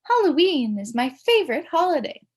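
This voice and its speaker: A woman acts out a storybook school secretary making announcements. The voice is pitched high and swoops widely, with a crackle in it. Every announcement is stretched out and emphatic. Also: big pitch swings, moderate pace, darkish tone. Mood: bright announcing. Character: Halloween-loving female school secretary making loudspeaker announcements.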